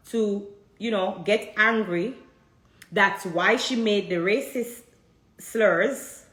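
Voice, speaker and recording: A woman's voice: mid-range voice, a bit bright. Jamaican woman ranting to her followers, keeps throwing questions back at them, echoey room. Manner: emphatic, repeats rhetorical questions to the audience. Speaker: vlogger addressing viewers. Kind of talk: direct-to-camera commentary.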